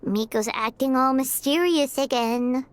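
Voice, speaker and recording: A woman's voice: unhurried, slight background noise. A woman voicing a small, chatty, childlike fairy sidekick in a video game. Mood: curious. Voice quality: squeaky, childlike. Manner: perky, refers to self in third person. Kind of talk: video game character dialogue.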